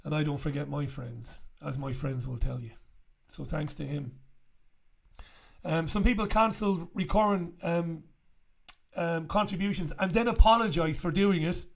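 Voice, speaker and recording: A man in his forties, croaky after an illness, chatting bluntly and casually to camera as a show host in a small room with a studio mic. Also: a little high, unhurried. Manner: chatty, blunt, swears freely. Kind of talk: casual direct-to-camera chat. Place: small bright room indoors. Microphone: studio mic on stand in front.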